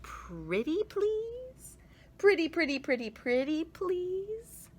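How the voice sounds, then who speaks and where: A woman voicing a storybook mother who coaxes sweetly and gently in a sing-song way, trying to stay calm. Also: very high-pitched, slow pace, voice pushed up, exaggerated voice. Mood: pleading.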